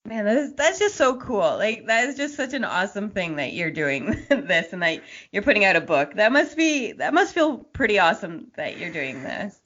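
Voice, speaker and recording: A woman's voice: mid-range voice, sing-song, normal speed, few pauses, a bit bright, highs cut off. A young woman hosting a podcast, chatting in an informal, encouraging way. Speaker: podcast host. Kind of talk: casual chat.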